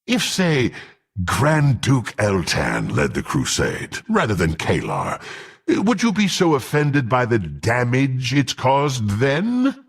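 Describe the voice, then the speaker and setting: A menacing, proud half-orc fighter from a fantasy game. He talks slowly and formally in a gruff, husky voice, swinging from growls to haughty commands. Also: mid-range voice, wildly swooping pitch, heavily acted. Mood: scornful. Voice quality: gruff, gravelly. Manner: haughty, threatening, formal archaic speech. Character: menacing proud half-orc warrior sworn to dark patron. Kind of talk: dramatic fantasy game voice acting.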